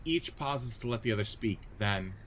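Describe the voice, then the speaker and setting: A man's voice: on the low side, lively intonation, moderate pace, crowd noise. A man giving scripted narration of stage directions and scene headings for a radio-play reading.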